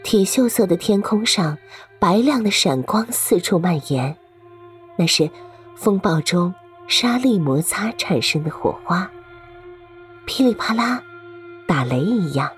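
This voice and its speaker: A woman's voice: on the low side, expressive, measured pace, soft background music. A woman reading scripted story narration for an audio drama.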